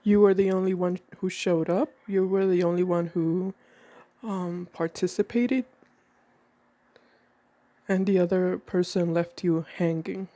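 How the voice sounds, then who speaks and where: A woman with a cold giving a calm tarot reading at a table indoors, slowing down as she names each card. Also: on the low side, dry recording. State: has a cold. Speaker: tarot reader.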